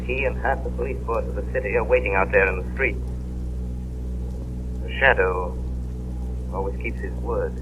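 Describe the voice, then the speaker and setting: Man doing a mysterious male crime fighter, measured, ominous, deep, old radio drama, phone-thin sound. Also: fairly even intonation, dull audio, lightly acted. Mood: calm. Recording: scratchy old radio broadcast.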